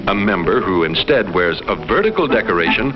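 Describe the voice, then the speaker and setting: An actor playing a detached alien explorer, reporting on human life in formal, measured 1950s educational film narration. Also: male voice, deep voice, big pitch swings. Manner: formal, measured, clinical phrasing. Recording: muffled vintage film sound, music behind. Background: loud music.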